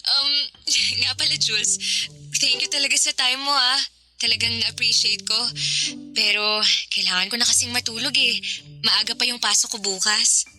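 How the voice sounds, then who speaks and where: A woman's voice: on the high side, fairly even intonation, moderate pace, long pauses, bright, forceful tone, faint music behind. A woman acting a bubbly, teasing, affectionate young working woman in a Filipino radio romance drama, with a Filipino accent. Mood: warm, grateful.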